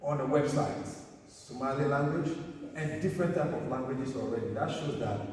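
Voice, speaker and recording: Male moderator, halting, lots of uhs, mid-pitched voice, distant mic, clear room noise. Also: darkish tone, heavy reverb, big-room sound. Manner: hesitant, many fillers, hurried by time. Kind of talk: moderating a community meeting.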